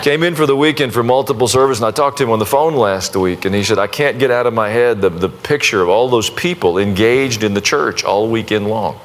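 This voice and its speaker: Folksy pastor with restarts. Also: male voice, fairly low pitch, fairly quick, few pauses. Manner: conversational, folksy, self-deprecating with many restarts. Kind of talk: sermon and prayer to a congregation.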